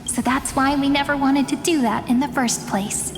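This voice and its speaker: An actress playing a playful, teasing young sprite companion in a video game. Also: female voice, high-pitched, moderate pace, in a large hall, background noise. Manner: teasing, light-hearted.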